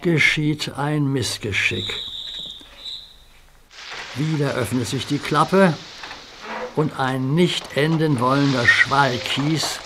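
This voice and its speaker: A male narrator reads a children's audio story in a calm, unhurried storybook manner. His voice sits in a middle range, and a clear hiss runs under the recording. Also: mid-range voice. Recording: hissy audio play recording.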